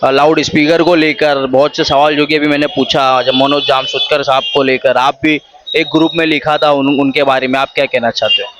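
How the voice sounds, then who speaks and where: A man's voice: medium pitch, little pitch movement, a little fast, fairly bright tone. A man working as a street reporter, putting questions to local people in a news interview. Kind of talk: vox pop news interviewing. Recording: faint background noise on location.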